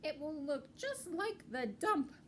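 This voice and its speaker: A woman's voice: high voice, big pitch swings, slow pace, a little hiss or hum, voice pushed up, full character voice. A woman voicing a timid, polite little mouse from a storybook, with a hesitant, questioning manner. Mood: uneasy.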